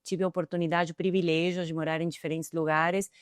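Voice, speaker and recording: A middle-aged woman with an Argentine accent, speaking as a guest in an interview with a smooth, resonant voice pitched fairly low for a woman. She answers thoughtfully and often pauses on hesitant fillers. The recording is close and dry. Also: little pitch movement, no echo. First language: Spanish. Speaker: guest, tech executive.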